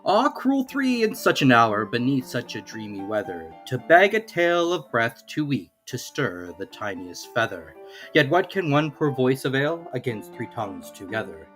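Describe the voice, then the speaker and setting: A man reads as an audiobook narrator with lively, wide-ranging intonation. His delivery is theatrical and scripted, set over faint background music. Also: medium pitch, moderate pace. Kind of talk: expressive audiobook storytelling.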